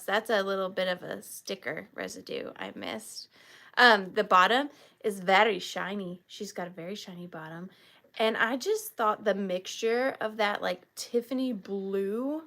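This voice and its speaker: A woman hosting a live vintage sale from her home, talking softly and chattily, sometimes dropping to a whisper. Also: mid-range voice. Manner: soft, slow and chatty, drifting into whispers. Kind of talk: live auction selling chat. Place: home studio with ring light.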